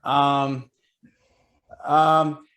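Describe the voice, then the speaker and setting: A man's voice: on the high side, flat delivery, very slow, fairly bright tone. A man in his forties with a Southern American accent, from Georgia, talking casually about his career in a video call interview, chatty and self-deprecating. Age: 40s. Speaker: real estate agent and team leader.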